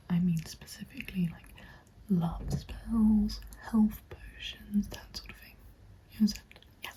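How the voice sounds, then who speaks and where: A young woman in a gentle, encouraging ASMR roleplay, speaking in a soft breathy whisper. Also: medium pitch, a little hiss or hum, poor audio. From England. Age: young adult. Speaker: ASMR roleplayer playing a witch recruitment agent. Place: small dim room indoors. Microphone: close microphone. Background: computer keyboard typing.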